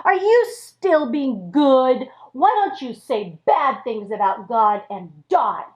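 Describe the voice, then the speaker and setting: Woman playing bitter wife, goading, high animated voice, pointed questions, storybook style, slightly dull recording. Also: slow pace.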